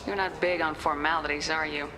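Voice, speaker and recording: A woman's voice: deep voice, fairly even intonation, fairly quick, close to an everyday voice. A woman playing a composed, no-nonsense military commander in a video game, speaking directly and dryly. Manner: direct, dry, probing. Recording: clearly audible ambient noise.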